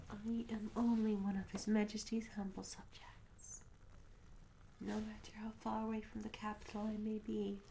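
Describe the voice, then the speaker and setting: A woman playing a curious, polite medieval tavern maid in an ASMR roleplay, speaking in a soft, breathy whisper with gentle, hesitant, old-fashioned courtesy. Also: mid-range voice, low-quality recording. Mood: humble. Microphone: close ASMR microphone. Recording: close whisper over audible ambience noise. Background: candlelit tavern ambience.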